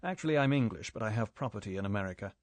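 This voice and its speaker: A man voicing a confident English agent who talks in a brisk, matter-of-fact way. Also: lowish voice, expressive, no room sound, lightly acted.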